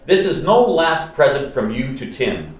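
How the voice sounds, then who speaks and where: Young nephew in a radio play, earnest n jokey, medium pitch, really echoey and far off. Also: male voice, sing-song, a little slow. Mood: defiant, hopeful. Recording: echoey room, distant microphone.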